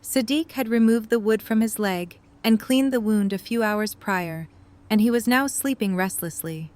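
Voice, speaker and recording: A woman's voice: on the high side, moderate pace, a little mellow. A woman narrator reading scripted story narration for an audio drama.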